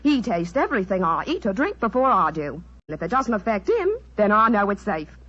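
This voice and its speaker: A woman's voice: mid-range voice, very animated, brisk, a bit bright. A woman playing a breezy, frank chorus girl turned island queen, chatty and candid, in 1940s radio style. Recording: dull vintage 1940s radio recording.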